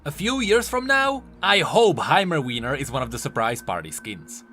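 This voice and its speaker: A man narrates game lore commentary as a video host. His voice is bright and fairly high, with extremely animated, emphatic swings in pitch. Music runs underneath. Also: moderate pace. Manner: emphatic, swooping delivery. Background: background music.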